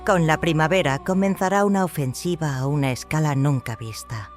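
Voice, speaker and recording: A man's voice: a little high, normal speed, faint music behind, voice pushed up, lightly acted. A man voicing a vain, self-assured court woman in an audiobook, gossiping in a coy, knowing way. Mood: breezy, self-important.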